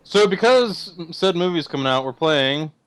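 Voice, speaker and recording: A man's voice: a little high, expressive, normal speed, clean tone, rough recording. A man chatting casually as a podcast co-host on an online group call. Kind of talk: casual chat.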